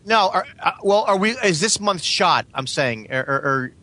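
A man chatting casually in a halting, insistent way, repeating himself and sometimes swearing. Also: high-pitched, expressive, sharp tone, no room sound. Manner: halting, repetitive, insistent, profane. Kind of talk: casual chat.

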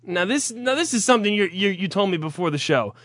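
A man's voice: high voice, lively intonation, fast talker, fairly bright tone, no echo, clean studio sound. A man hosting an internet radio show, teasing and profane in casual comic banter.

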